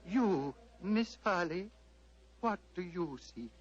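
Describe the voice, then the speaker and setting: An actor playing a serene, fatherly old Tibetan abbot in a measured, formal radio drama style. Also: sits high, animated. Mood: solemn questioning. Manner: measured, formal, gently paternal. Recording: muffled vintage radio, hum and hiss.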